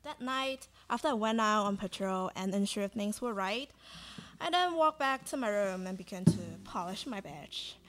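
A woman's voice: mid-range voice, expressive, normal speed, a bit bright, close to an everyday voice. Woman acting a proud sheriff, off-mic. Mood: proud, earnest. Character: proud, dutiful sheriff who loves the badge. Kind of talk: student live radio play reading.

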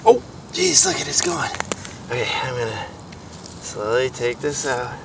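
A man in his forties filming himself ice fishing outdoors with a handheld phone, chatting in an excitable, self-deprecating way. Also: medium pitch, moderate pace, vocal fry, very noisy. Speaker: fishing vlogger. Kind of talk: casual vlog narration. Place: outdoors on a frozen pond. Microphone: phone camera at arm's length.

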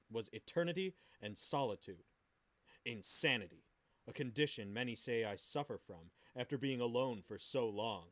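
A man's voice: mid-range voice, a bit bright. A man co-hosting a podcast, bantering casually and reading aloud. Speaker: podcast host.

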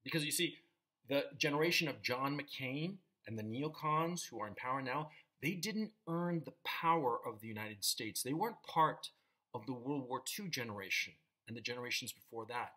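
A man's voice: medium pitch, normal speed, poor audio. A man in his fifties from Chile, talking casually and emphatically to camera in a small room at home through a webcam mic. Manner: animated, gesturing with hands, emphatic. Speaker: vlogger. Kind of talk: casual opinion monologue to camera.

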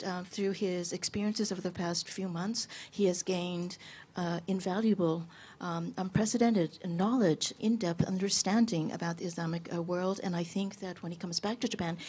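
A woman's voice: fairly low pitch, darkish tone, husky. A woman interpreting at a press conference, hesitant, with many fillers as she translates. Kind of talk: consecutive interpretation.

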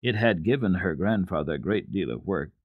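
A man giving an expressive, dramatic reading of classic children's literature as a radio storyteller, with deliberate phrasing. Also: low voice, husky, no echo, phone-quality sound.

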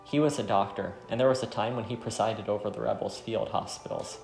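A grieving but determined woman archer from a visual novel, read by a man in a medium male pitch. The delivery is creaky, in a roomy space with faint music. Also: fairly even intonation, fairly quick, a little mellow. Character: grieving, determined young woman archer from war-torn homeland.

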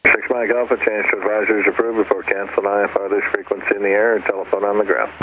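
Fast-talking male air traffic controller on radio. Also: lowish voice, flat delivery, narrow, tinny audio. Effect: aviation radio. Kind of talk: brisk radio instructions.

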